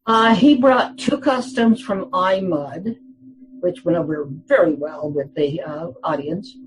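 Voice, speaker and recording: A woman's voice: lowish voice, measured pace, slight background noise, poor audio. A woman in her eighties, a guest telling rambling anecdotes in a casual interview, full of fillers and restarts, often laughing.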